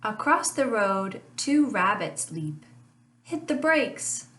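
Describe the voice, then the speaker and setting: A woman teacher reading a rhyming children's picture book aloud in a slow, sing-song way, with lively honks. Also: mid-range voice. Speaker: family literacy teacher. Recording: echoey room, voice a bit far from mic.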